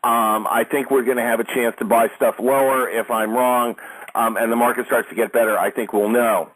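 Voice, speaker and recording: A man speaking off the cuff as a market analyst hosting a call, in a measured, matter-of-fact advisory way. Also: medium pitch, fairly even intonation, fairly bright tone, husky, poor audio. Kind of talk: unscripted market commentary.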